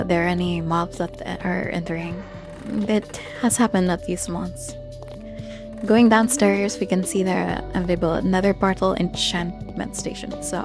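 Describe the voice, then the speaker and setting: A person giving casual gameplay commentary in a hesitant, halting way, often searching for words. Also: medium pitch. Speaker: gamer narrating own Minecraft build tour. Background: background music.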